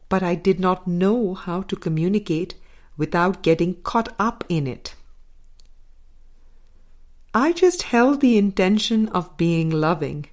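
A woman reading a spiritual book of dialogue aloud as a scripted narration, in a measured, deliberate manner. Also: lowish voice, darkish tone. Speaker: audiobook reader.

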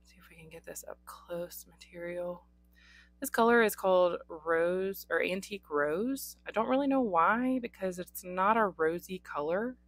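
A young adult woman with a hoarse voice, hosting a casual pen unboxing with frequent ums. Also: fairly low pitch, moderate pace, no echo. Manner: chatty, frequent ums, occasional coughs. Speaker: pen reviewer. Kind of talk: unboxing chat. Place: indoors at a desk. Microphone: overhead camera on desk, hands only.

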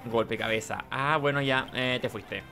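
A man's voice: mid-range voice, unhurried, sharp tone. A man with a Chilean accent giving casual playthrough commentary, repeating himself and muttering as he thinks. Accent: Chilean Spanish. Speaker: gaming video host. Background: video game music behind the voice.

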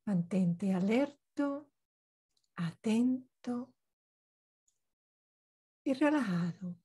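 Woman guiding meditation, extremely slow, husky. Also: a little high. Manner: slow, pausing, calm instructional phrasing. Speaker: meditation guide. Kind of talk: guided meditation instruction.

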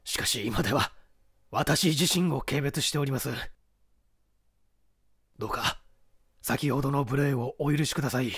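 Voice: mid-range voice, normal speed, pauses often, fairly bright tone, breathy edge, no echo, studio quality, pitched below the performer's own voice. A narrator voicing a humbled male police detective with stiff, old-fashioned, formal politeness. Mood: contrite, solemn.

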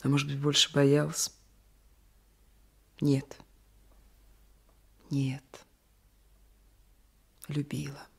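A troubled young village wife in a radio drama. Her voice is husky and creaky, with breathy, emotional delivery. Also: low-pitched, completely flat delivery, extremely slow, pauses often, heavily acted. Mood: anguished. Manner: breathy, emotional, drops into whispered asides. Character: troubled young peasant wife hiding an old love. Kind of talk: Soviet radio play acting. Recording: 1980s radio drama recording.

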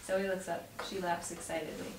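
Distant, low-voiced young woman reading a script. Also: fairly even intonation, fairly quick. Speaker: narrator reading stage directions at a table read. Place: home dining room, group around a table. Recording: roomy, distant mic, loud noise and clicks.

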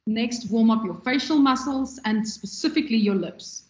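A woman giving a measured, instructive lecture on radio presenting, with a trained, loud voice and frequent ums. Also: on the high side. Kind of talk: recorded lecture. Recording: echoey, dull lo-fi room recording.

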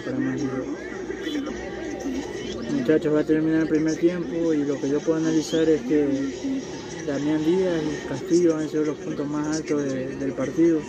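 A man's voice: a little high, rough recording. A young man from Ecuador with an Ecuadorian accent, chatting casually as he reacts to a football match and talks to his viewers, in a small room. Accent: Ecuadorian Spanish. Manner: chatty, slangy, addresses viewers as pals.

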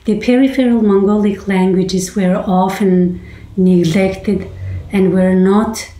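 A woman in her forties hosting and explaining a language topic in a measured, academic way, recorded on a laptop in a small room. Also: mid-range voice, fairly even intonation, mellow. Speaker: host. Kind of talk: scripted explainer lecture. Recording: roomy echo.

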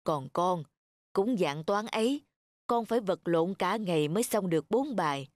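Low-voiced calm, firm father played by a woman. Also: normal speed, dry recording, lightly acted. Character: calm, firm middle-aged father and school principal. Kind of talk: animated cartoon voice acting. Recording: clear music behind the voice.

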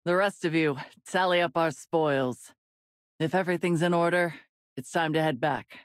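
Woman acting a low-voiced stoic pirate captain. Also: normal speed, dry recording, studio quality, lightly acted. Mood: calm, commanding. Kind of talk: video game voice acting.

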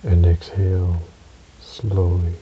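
A man narrating a guided relaxation meditation in a soothing, measured way, with long pauses between short phrases. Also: deep voice, completely flat delivery. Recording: dull recording with audible hiss.

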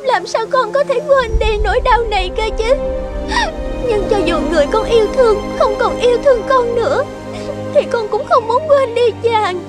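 A woman's voice: very high voice, normal speed, over loud music. A woman voicing a tearful, lovesick young maiden who laments her heartbreak in a cartoon fairy tale. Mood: sorrowful, pleading.

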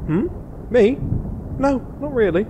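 A man's voice: very high voice, expressive, takes it slowly, lo-fi sound, exaggerated voice. A man playing a pompous but well-meaning knight, formal and breezy, in a comedy fantasy cartoon. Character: pompous male knight, trying to be kinder. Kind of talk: comedic animated fantasy voice acting. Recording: loud storm-like background noise.

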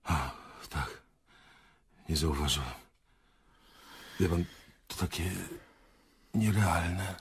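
An actor in a radio play playing a shaken, evasive theatre director who speaks haltingly. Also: male voice, deep voice, slight background noise. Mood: dazed, halting. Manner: hesitant, halting. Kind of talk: radio drama acting.

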